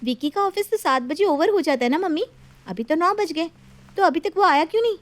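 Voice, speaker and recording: A woman's voice: very high-pitched, animated, a little fast, dry recording, voice pushed up. A woman voicing a sensible, independent young wife who talks in a firm, scolding, assertive way in a story. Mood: concerned.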